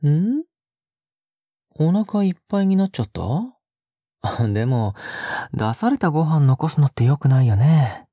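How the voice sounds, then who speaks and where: A woman playing a doting, possessive captor in an intimate audio drama, coaxing and cooing softly with a breathy voice that often drops toward a whisper. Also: low-pitched, animated, unhurried, long pauses, no room sound, studio quality. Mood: coaxing, sweetly controlling. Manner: coaxing, teasing, cooing as if to a child.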